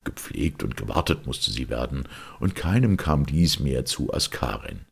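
A man narrating a fairy tale as an expressive audiobook reader, with a gravelly, very husky voice. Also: rumbling low, unhurried.

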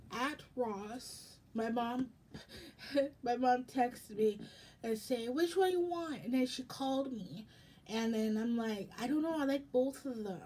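A young American woman chatting casually for a haul vlog in a rambling, halting way, with a slightly raspy voice. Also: fairly high pitch, darkish tone. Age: young adult. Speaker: vlogger. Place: indoors in a home room.

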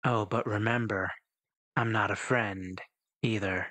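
A man voicing an enigmatic, quietly menacing figure with slow, measured, cryptic speech in a tabletop roleplay. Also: on the low side, fairly even intonation. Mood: veiled threat. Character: enigmatic, menacing man cloaked in smoke, calmly goading.

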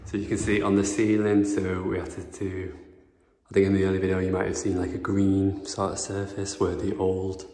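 Young man, low flat voice, echoey rooms. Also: normal speed. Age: 20s. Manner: rambling, chatty, many "like" and "um" fillers. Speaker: property renovation vlogger. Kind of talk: casual house-tour vlog. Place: empty house under renovation, indoors. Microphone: phone camera, handheld then propped up.

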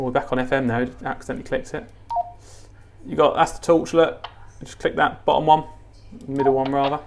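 A man giving a casual, unscripted hands-on tutorial, informal, repeating himself and thinking aloud as he goes. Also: on the high side. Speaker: presenter of a gadget how-to. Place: indoors, tiled kitchen counter.

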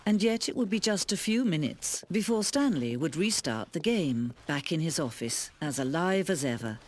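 A woman playing a knowing, solemn narrator in a video game, deliberate and earnest in her delivery. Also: low voice, lively intonation, a little hiss or hum. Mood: calm, detached. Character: solemn, knowing female narrator speaking to the player. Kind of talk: scripted dramatic narration.

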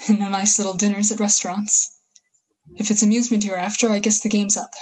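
Husky, scheming survivor soldier, played by a woman. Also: medium pitch, little pitch movement, a little mellow, lightly acted, low-quality recording. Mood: defiant. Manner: folksy, slangy, halting emphatic phrases. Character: bitter, scheming survivor soldier with grand mad plans. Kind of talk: 1930s-style radio play acting.

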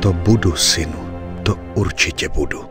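A man's voice: deep voice, lightly acted. Man playing a solemn middle-aged priest, music behind. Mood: grave, reproachful. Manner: solemn, deliberate. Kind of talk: dramatic game cutscene dialogue. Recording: prominent music under the voice.

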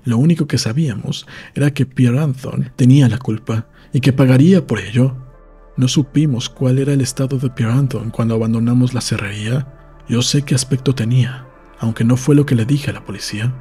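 A man's voice: mid-range voice, fairly quick, dark tone, husky. A man reading a novel aloud as scripted audiobook narration for a podcast. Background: faint music.

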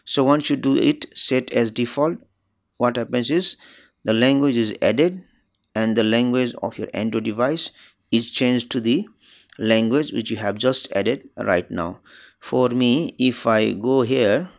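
Man calmly narrating a phone tutorial. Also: mid-range voice, measured pace, creaky. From Nepal. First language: Nepali. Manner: plain instructional narration, repeats steps. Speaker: tech tutorial channel host.

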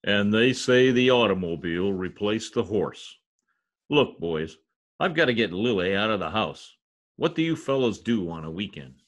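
A man's voice: lowish voice, lively intonation, moderate pace, lightly acted. An older man playing a pompous, exasperated radio star with a theatrical manner in old-time radio comedy. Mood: fretful, weary. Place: indoor home office. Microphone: computer mic on video call.

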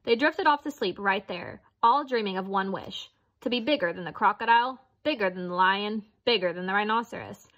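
A woman's voice: mid-range voice, fairly quick, few pauses, highs cut off. A woman reading a children's picture book aloud as narrator. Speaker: storybook reader.

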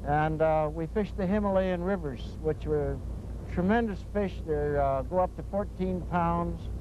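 A man's voice: a little high, unhurried. An older man chatting casually as a guest, telling anecdotes out on a small boat. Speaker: fishing guest. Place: small boat on open water. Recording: dull tape, outdoor boat noise.

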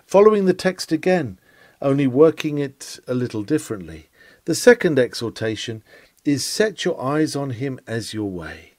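A man's voice: medium pitch, big pitch swings, moderate pace, a little mellow, no echo, studio quality. A man reading a historical sermon aloud in an earnest, exhortatory and rhetorical manner, with dramatic delivery.

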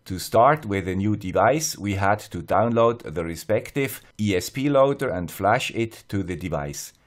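A man with a fairly low voice and a Swiss accent narrates a scripted tutorial. He explains things step by step in a measured, plain-spoken manner. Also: expressive. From Switzerland. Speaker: host of an electronics tutorial channel.

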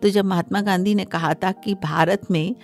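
A middle-aged woman explaining formally as a studio guest, pausing between phrases. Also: mid-range voice, steady tone, faint music behind. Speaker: interview guest, Hindi scholar. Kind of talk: explanatory radio interview. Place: small acoustically treated radio studio. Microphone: close studio microphone on a desk stand.

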